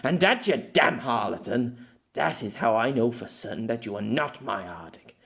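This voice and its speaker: A man's voice: mid-range voice, lively intonation, normal speed, creaky, fairly bright tone. A man playing a middle-aged exile in an audio story, bitter, hot-tempered and desperate, stammering and swearing in dramatic outbursts. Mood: bitter, grieving.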